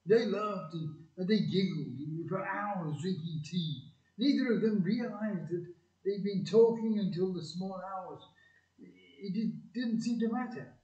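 A man's voice: sits high, a little slow, dark tone. A man giving scripted narration in an amateur audio play. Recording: echoey room, distant muffled mic.

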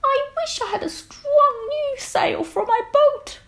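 A woman voicing a worried goat in a storybook read-aloud, speaking very high and slow. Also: a bit bright, heavily acted. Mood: pleading. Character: bleating nanny goat, fretful, high.

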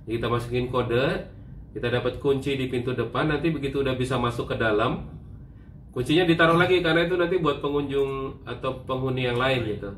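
Male, 30s, relaxed travel vlogger; average pitch, echoey room. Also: quick pace, a little hiss or hum. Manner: relaxed, chatty, explains step by step. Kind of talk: casual chat. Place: hotel room, indoors.

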